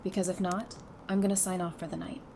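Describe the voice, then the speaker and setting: A woman playing a sassy, sarcastic, quick-witted wife with dry, teasing delivery in an audio drama. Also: lowish voice, level tone. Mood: matter-of-fact. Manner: dry, teasing, matter-of-fact. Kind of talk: fan audio drama acting. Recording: clearly noisy background.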